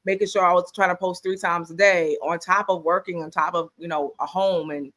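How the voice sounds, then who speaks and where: A woman's voice: on the low side, steady tone, fairly quick, fairly bright tone. A woman co-hosting a podcast, chatting casually and playfully and laughing easily. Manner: playful, laughs easily, self-described messy. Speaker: podcast co-host. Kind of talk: casual chat.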